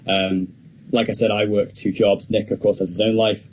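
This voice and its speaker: A man's voice: lowish voice, little pitch movement, moderate pace, darkish tone. A young man co-hosting a podcast, talking casually and chattily about films with firm opinions. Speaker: podcast co-host. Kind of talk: casual film discussion.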